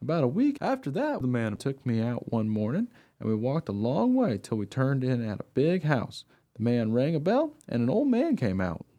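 Radio play dog narrator, male actor: earnest, naive, eager, swooping intonation, soft-toned voice. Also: mid-range voice, huge pitch swings, moderate pace. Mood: earnest, guileless. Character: eager, naive, trusting young dog telling his story.

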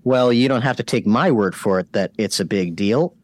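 A man's voice: fairly low pitch, moderate pace, no room sound, clean studio sound. Emphatic male news commentator. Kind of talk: opinionated news commentary, semi-scripted.

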